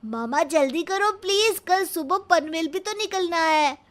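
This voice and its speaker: A woman's voice: very high voice, expressive, measured pace, pitched above the performer's own voice. A woman voicing a cheeky, impatient fifth-grade boy with a childlike whine in a story.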